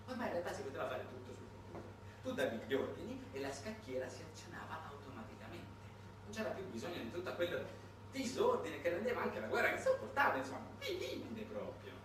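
A man delivers a satirical narrative monologue in a theatrical, highly varied voice. His delivery is dramatic and changeable. He is heard from far off in a very reverberant space, with clear noise on the recording. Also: huge pitch swings, fast. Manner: dramatic delivery swinging between hushed and shouted. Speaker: stage monologist, writer-performer. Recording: echoey, noisy recording from a distance.

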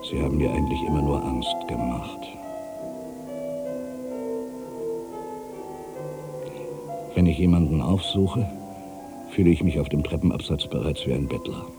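Casting: aging writer, extremely low voice, brooding and theatrical, literary audio monologue over clearly present music. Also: male voice, brisk. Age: older. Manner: theatrical, introspective, swinging between hushed and emphatic delivery. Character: aging male writer, world-weary, anxious, brooding, given to grandiose fancies.

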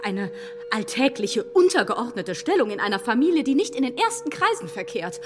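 A high, very animated overbearing society lady in a period radio play. She gushes and patronises, always insisting. The voice rings in a reverberant room with clear noise behind it. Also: moderate pace. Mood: patronising concern. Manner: effusive, condescending, insistent. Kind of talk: German radio play acting.

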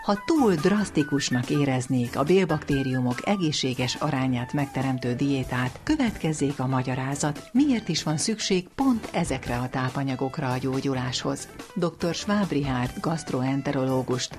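A woman's voice: a bass voice, expressive, a little mellow, soft background music. A woman presenting a radio programme, putting questions and reading links between interviews.

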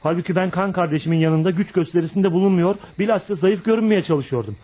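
An actor in a radio play voicing an embittered, proud young outcast who confides in a dramatic, emphatic storytelling manner. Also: male voice, sits high, fast talker, dark tone. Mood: wistful, wounded. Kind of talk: radio theatre acting.

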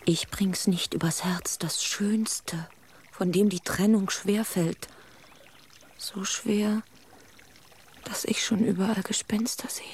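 An actress playing an earnest, tender-hearted young woman in a radio play, expressive and talking half to herself. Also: on the low side, creaky, heavily acted. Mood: anguished, hushed.

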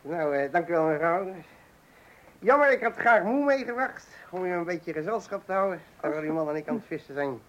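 An actor playing a chatty working-class window cleaner and keen angler in a radio play, folksy, boastful and amused with himself. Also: male voice, high-pitched, lively intonation, a little fast, fairly bright tone, highs cut off. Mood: polite, awkward. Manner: folksy, boastful, self-amused, hesitant openings.

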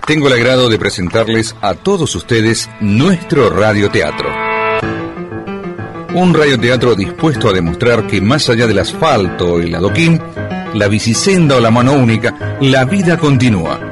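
A man giving a formal, measured scripted introduction as a radio play announcer. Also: medium pitch, sing-song, music in the background.

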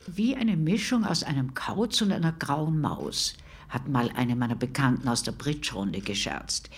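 An actress playing a chatty, defensive neighbour who rambles confidingly in a radio drama interview. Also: female voice, rumbling low, lively intonation, normal speed, slightly rough, exaggerated voice. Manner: rambling, self-justifying, confiding. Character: chatty, defensive neighbour woman gossiping about a recluse.

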